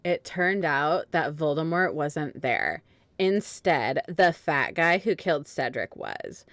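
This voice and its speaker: A low-voiced woman hosts and narrates a comic fan fiction reading. She takes her time, recorded very dry and close, and slips into casual asides. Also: expressive, slow pace, fairly bright tone, no room sound. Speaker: narrator and host.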